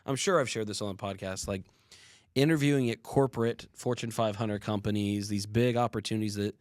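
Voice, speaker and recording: A man's voice: lowish voice, fairly even intonation, brisk, no room sound, studio quality. A young adult man co-hosting a podcast, telling stories in a casual, earnest and reflective way. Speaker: podcast co-host.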